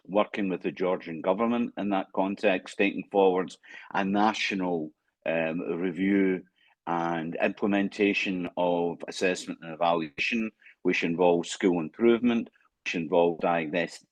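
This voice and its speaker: Scottish man, very low voice, dry close sound, halting panel remarks with many ums. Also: no room sound. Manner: halting, frequent fillers and restarts.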